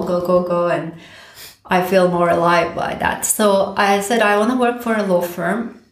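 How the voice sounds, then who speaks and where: Woman from Turkey, pro bono director, chatty and animated in an echoey room. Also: medium pitch. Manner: animated, thinks aloud with many fillers and restarts. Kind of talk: conversational podcast interview.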